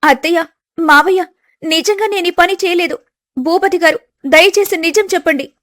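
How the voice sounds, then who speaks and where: A woman's voice: very high voice, moderate pace, fairly bright tone, pitched above the performer's own voice. A woman playing a young, falsely accused daughter-in-law who pleads tearfully and earnestly in a family storybook melodrama. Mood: distressed pleading.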